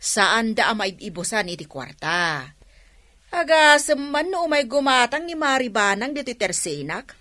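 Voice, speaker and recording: Animated gossiping village woman. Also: a little high, moderate pace. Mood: gossipy. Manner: chatty, gossipy. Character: gossiping village neighbour woman, eager and knowing. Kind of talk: radio drama acting.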